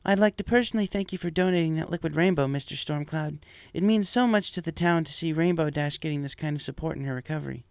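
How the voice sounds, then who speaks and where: A man's voice: a little high, fast, creaky, hardly any pauses, clean tone, no echo, pitched above the performer's own voice. A man voicing a gracious adult female pony mayor in a storybook reading, polite and appreciative. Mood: grateful.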